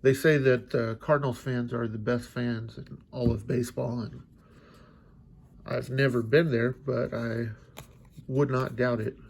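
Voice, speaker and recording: A man's voice: mid-range voice, steady tone, measured pace, creaky, breathy edge. A congested, stuffy-sounding man opening card packs and chatting casually, musing aloud with lots of fillers. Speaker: card collecting channel host. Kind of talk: casual pack-opening commentary.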